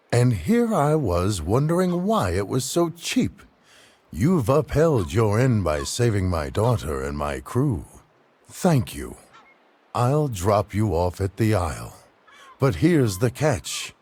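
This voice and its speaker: A man's voice: on the low side, very animated, slow pace, a little mellow, breathy edge, full character voice. An actor playing a wary, plain-spoken ship's captain and worried father in a video game cutscene. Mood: grateful but wary.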